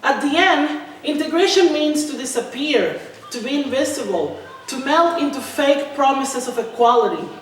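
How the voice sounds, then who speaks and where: A woman's voice: high voice, expressive, normal speed. A woman reading a spoken-word political manifesto with emphatic, sarcastic delivery and repeated refrains. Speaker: writer reading own spoken-word text. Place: large reverberant indoor art venue. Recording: echoey hall from far off, faint noise.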